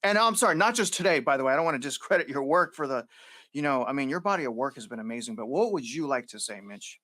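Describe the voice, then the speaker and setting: A man hosting an interview, talking in an animated, conversational way and using fillers. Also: medium pitch, quick pace. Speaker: host.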